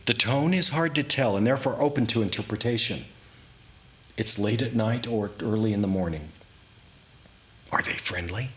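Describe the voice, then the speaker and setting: A man giving a dramatic, reflective reading of his own memoir story, with deliberate pauses. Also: lowish voice, a bit bright. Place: indoors in a historic house, live reading. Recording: clearly noisy live room recording.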